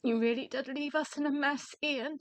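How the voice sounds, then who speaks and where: An actress in a radio drama playing a weary, emotional widow who speaks in halting, deliberate phrases. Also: female voice, high voice, steady tone, no room sound. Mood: regretful, confiding. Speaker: actor in a radio play.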